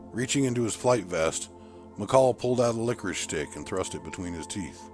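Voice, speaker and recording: A man with a low, deep voice narrates a military action story. His delivery is scripted and dramatic, with measured, deliberate phrasing. Music plays behind him. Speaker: audiobook narrator.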